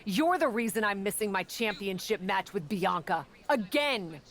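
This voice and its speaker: An actress playing an exasperated, confident wrestler in a video game, blunt and confrontational. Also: female voice, mid-range voice, animated, moderate pace, background noise, lightly acted. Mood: exasperated, defiant. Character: exasperated, confident female champion-contender wrestler. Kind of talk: video game cutscene acting.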